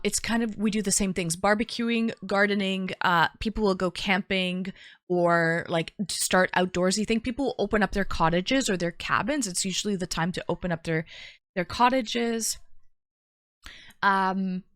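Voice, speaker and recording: A woman with a Canadian accent speaks at an ordinary mid-range pitch, recorded close and dry with little room sound. She chats informally as a livestream host, talking straight to her viewers and often repeating short phrases. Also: measured pace, fairly bright tone, no room sound. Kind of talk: casual livestream chat, greeting viewers.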